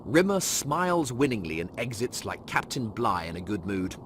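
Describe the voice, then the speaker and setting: A man's voice: fairly low pitch, very animated, moderate pace, fairly bright tone, slight background noise. A man narrating a scripted comic audiobook, reading scenes and stage directions.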